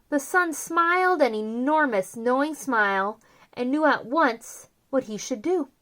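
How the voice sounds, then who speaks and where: Female picture-book narrator, bright-pitched and very lively in intonation, expressive and theatrical. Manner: theatrical, drawn-out emphasis on key words. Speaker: children's storyteller, host.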